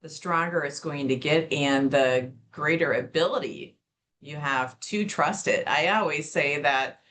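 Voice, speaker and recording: A woman's voice: sits low, a little slow, fairly bright tone, slight background noise. A woman podcast host from Wisconsin, leading a casual interview and readily agreeing while adding her own experience.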